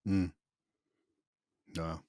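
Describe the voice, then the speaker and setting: A man's voice: drawn-out words, mellow, no room sound, studio quality. A man hosting a casual interview, asking questions in a conversational way. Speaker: interviewer, host.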